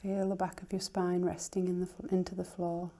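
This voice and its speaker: A woman teacher leading a guided relaxation in a soothing, unhurried, gently instructing manner, her soft voice turning breathy at phrase ends. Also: fairly low pitch, level tone.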